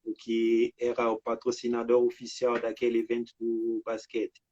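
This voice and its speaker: A man from the Democratic Republic of the Congo answering interview questions over a video call, halting, with many filler words. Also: mid-range voice, completely flat delivery, a little mellow. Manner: halting, frequent "né" and "sabe" fillers. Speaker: artist and guest. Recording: dry lo-fi video call audio.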